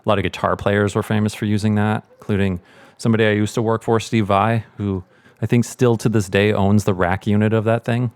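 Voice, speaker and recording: A middle-aged man with a lowish voice leads a casual audio tutorial. He speaks informally, thinking aloud through each step, in a very dry recording. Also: level tone, fairly quick, a little mellow, smooth, dry recording. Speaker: sound mixer giving a tutorial.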